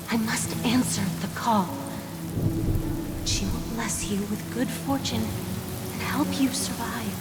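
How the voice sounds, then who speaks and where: A solemn, protective older sister played by a woman, with a fairly high voice and ceremonial phrasing. It is dramatic game dialogue, drenched in reverb over loud music. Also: close to an everyday voice. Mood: tender, solemn. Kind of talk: dramatic video game acting. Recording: echoing reverb, loud music, rain behind.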